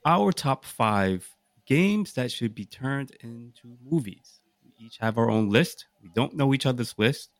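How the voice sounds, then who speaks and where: A man hosting a casual podcast, explaining and asking questions in deliberate, emphatic phrases. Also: mid-range voice, lively intonation, no room sound. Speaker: podcast host.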